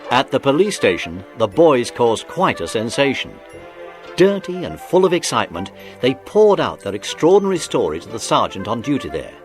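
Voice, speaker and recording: A man's voice: medium pitch, very animated, normal speed. A man narrating a children's story in scripted storytelling style. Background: music.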